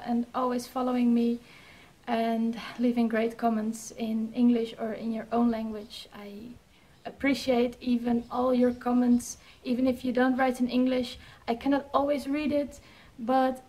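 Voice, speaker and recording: Higher-voiced young Dutch woman vlogging. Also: dark tone. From Netherlands, Amsterdam area. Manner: shy, hesitant, laughs at herself. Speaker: vlogger and pilot. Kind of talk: casual chat to camera.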